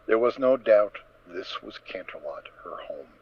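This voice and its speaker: A man narrating a scripted story dramatically, with measured, emphatic phrasing. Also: fairly low pitch, expressive, heavy background noise. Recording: dull, dry lo-fi recording.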